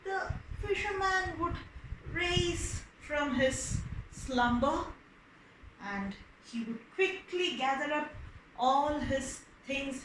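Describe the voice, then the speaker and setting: A woman telling stories for children, explaining as she goes, expressive and repeating words for emphasis. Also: high voice. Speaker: storyteller. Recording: roomy echo with faint background noise.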